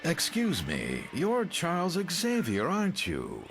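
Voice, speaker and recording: A man's voice: on the high side, huge pitch swings, slow pace, creaky, fairly bright tone, soft background music, heavily acted. An actor playing a friendly, inquisitive man in a motion comic, chatty, direct and polite. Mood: curious.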